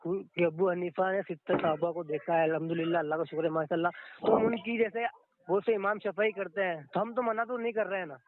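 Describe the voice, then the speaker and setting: A man calling in as a lay questioner, arguing in a halting, repetitive and defensive way over the phone. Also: high voice. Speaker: caller, layman questioner. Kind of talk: argumentative phone conversation. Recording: noisy, dull telephone call with clicks.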